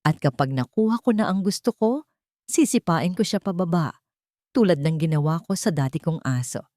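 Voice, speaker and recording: A woman's voice: lowish voice, animated, normal speed, warm tone, dry recording, clean studio sound, natural voice. A woman voicing a scheming, manipulative man who uses and begs women in an audio drama. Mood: smug, scornful. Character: scheming, contemptuous male fortune hunter, later pleading.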